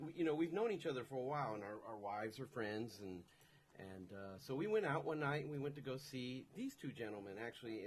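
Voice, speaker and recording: A man's voice: lowish voice, a little hiss or hum. A man whose first language is Spanish, a musician guest telling a story on a radio show. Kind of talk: casual storytelling.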